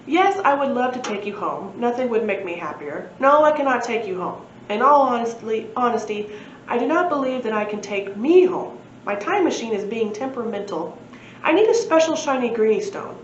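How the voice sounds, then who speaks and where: A woman's voice: on the high side, expressive, moderate pace, close to an everyday voice. Echoey, pompous dinosaur professor, voiced by a woman. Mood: matter-of-fact. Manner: formal, wordy, matter-of-fact. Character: pompous, earnest male dinosaur professor and inventor. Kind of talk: storybook read-aloud. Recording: echoey room, muffled, faint noise.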